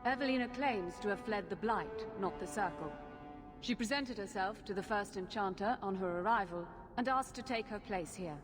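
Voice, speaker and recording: An actress playing an emotionless, orderly mage assistant in a video game, speaking with formal, detached precision. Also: female voice, mid-range voice, moderate pace, close to an everyday voice. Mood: detached, matter-of-fact. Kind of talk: dramatic video game acting. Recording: long hall reverb, music behind.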